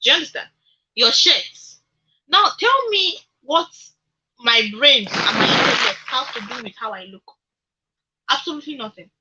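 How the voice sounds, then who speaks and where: A young woman with a Nigerian accent in an animated radio discussion, speaking emphatically with repetition and rhetorical questions. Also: sits high, moderate pace, husky, rough recording. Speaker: radio talk show speaker.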